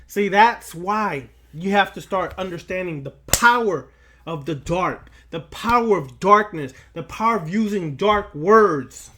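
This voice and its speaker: A man's voice: high voice, lively intonation, unhurried, sharp tone. A man giving a spiritual lecture straight to his listeners, emphatic and repeating phrases for weight. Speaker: occult lecturer.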